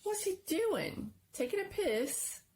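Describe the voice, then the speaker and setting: Woman as cocky young boy, taunting, drawn-out delivery, smug, scary storybook character. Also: very high-pitched, big pitch swings, a little mellow, pitched above the performer's own voice, exaggerated voice. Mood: gleeful teasing. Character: cocky teasing schoolboy, smug and scornful.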